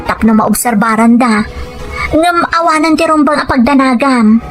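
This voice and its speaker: A woman's voice: sits high, normal speed, natural voice. A woman with a Filipino Ilocano accent playing a deceitful gambling wife in an audio drama, with music behind her. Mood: feigned worry. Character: deceitful gambling wife and dutiful-sounding daughter. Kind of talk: scripted audio drama acting. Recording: faint music bed behind voice.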